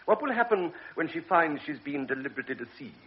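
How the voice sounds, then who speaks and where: An actor playing a grave older doctor, speaking in a measured, lecturing way in a radio drama. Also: male voice, fairly high pitch, sing-song. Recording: dull old radio recording with faint hiss.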